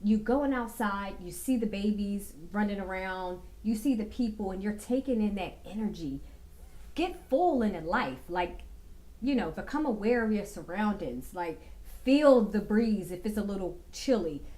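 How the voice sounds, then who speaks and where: Woman vlogger, bit raspy from a cold, slow and dreamy, lots of ums, middle pitch. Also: animated, mellow. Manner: slow, reflective, meandering with fillers. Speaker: vlogger giving life advice. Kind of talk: casual talking-to-camera advice.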